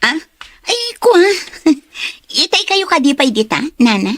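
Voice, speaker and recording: A woman acting a scheming, envious young woman in a radio drama, with a husky, theatrical delivery. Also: sits high, very animated, a little slow, a bit bright, no echo, heavily acted. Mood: feigned friendliness.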